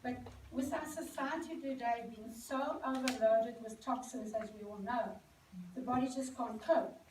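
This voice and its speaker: A South African woman giving a casual talk to an audience at an event, explaining things plainly with frequent ums and quick self-corrections. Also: fairly high pitch. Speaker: speaker at a celebration event. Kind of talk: informal talk to a live audience. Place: event venue indoors. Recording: echoey room, mic far off, noisy.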